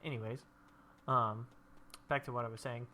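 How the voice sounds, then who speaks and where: A young man with a congested, stuffy voice narrates his gameplay in a loose, rambling way. His pitch is average for a man, and his talk drifts easily into personal stories. A faint hiss of background noise sits under the recording. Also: fairly even intonation, normal speed, bright, forceful tone. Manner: rambling, chatty storytelling while playing. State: stuffy from allergies. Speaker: gameplay video host. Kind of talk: casual gameplay commentary.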